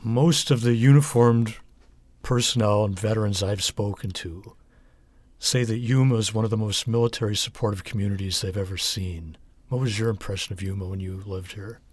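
A man interviewing a guest, asking measured, informative questions. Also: on the low side, steady tone, slightly rough, no room sound.

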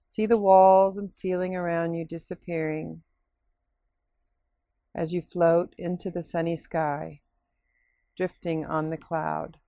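A woman leading a scripted guided relaxation meditation in a soothing, unhurried, instructing way with pauses. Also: fairly low pitch, flat delivery, dark tone, no room sound, clean studio sound.

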